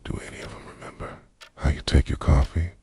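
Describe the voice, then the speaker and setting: A man playing an obsessive, hurt, manipulative boyfriend in an intimate ASMR roleplay, speaking softly and insistently close to the microphone. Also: brisk, no room sound, natural voice. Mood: quiet, intense. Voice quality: soft, low, breathy at times. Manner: intimate, insistent, pleading and accusing. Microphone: close microphone, dry sound.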